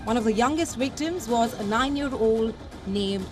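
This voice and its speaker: A woman with a high voice narrates a scripted news report. Her delivery is formal and measured, and music plays clearly behind her. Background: music bed.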